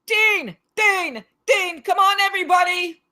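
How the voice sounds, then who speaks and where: A woman's voice: very high voice, unhurried, sharp tone, heavily acted. A woman reading the part of a swaggering college fraternity crowd member in a live table read. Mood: rallying.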